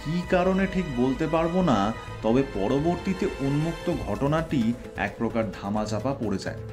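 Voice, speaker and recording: Male actor as self-assured sleuth: formal, deliberate, theatrical reveal delivery, prominent music bed. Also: mid-range voice, close to an everyday voice. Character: shrewd, self-assured male Bengali detective unmasking a culprit. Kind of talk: audio drama acting. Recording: loud background music under voice.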